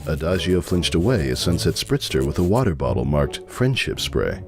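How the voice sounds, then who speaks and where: A man's voice: rumbling low, sing-song, normal speed, music in the background. A man giving a scripted dramatic reading of a story as its narrator.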